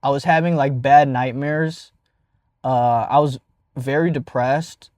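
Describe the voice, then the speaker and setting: A man's voice: mid-range voice, steady tone, takes it slowly, darkish tone, no echo, studio quality. A young man with a stutter telling a casual personal story as a vlogger, with many fillers and restarts.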